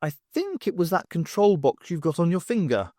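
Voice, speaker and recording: A man's voice: a little high, huge pitch swings, moderate pace, a little mellow, dry recording, studio quality, voice pushed up. A man voicing a fussy, blustering artist in an audiobook, rambling on in an airy, apologetic way. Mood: musing.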